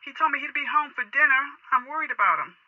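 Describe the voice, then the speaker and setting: A worried mother in an audio drama, high and plaintive. She comes through a dull telephone line, fretting as she talks. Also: lively intonation, fast, dry recording. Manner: fretful, plaintive. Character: anxious middle-aged or older mother, fretting. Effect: telephone filter.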